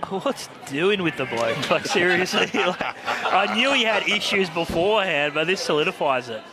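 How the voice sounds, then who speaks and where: A man's voice: high voice, big pitch swings, moderate pace. A man, a sideline reporter crossing in live and joking with the hosts. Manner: joking, laughing.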